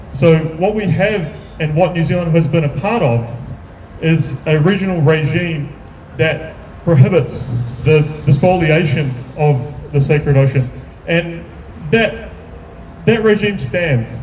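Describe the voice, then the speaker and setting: A man's voice: on the high side, darkish tone. Earnest man giving a rally speech. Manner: earnest, builds to raised intensity, repeats words when restarting. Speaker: activist speaker. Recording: echoey, distant, faint background noise.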